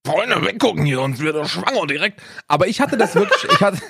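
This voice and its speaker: A man's voice: high-pitched, sing-song, fairly quick, vocal fry, pushed and bright, slightly rough, no room sound, clean studio sound. A man in his thirties co-hosting a podcast, chatting in a joking, boastful, mock-serious way. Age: 30s. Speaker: podcast co-host. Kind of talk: joking casual chat.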